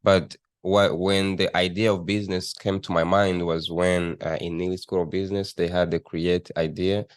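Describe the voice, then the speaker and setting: A young man from Rwanda, a guest telling his story in an interview, thoughtful and halting, often restarting his sentences. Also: low voice, flat delivery, clean tone, dry recording, clean studio sound. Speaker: guest, student entrepreneur. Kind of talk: conversational interview.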